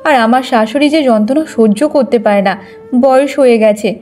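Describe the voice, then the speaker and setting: A woman's voice: a little high, moderate pace, a little mellow, natural voice. A woman voicing a gossipy, friendly village housewife in a cartoon, chatty and wheedling. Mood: confiding. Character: gossipy neighbour housewife, friendly, pleading, hurried. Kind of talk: Bengali moral-story cartoon dubbing.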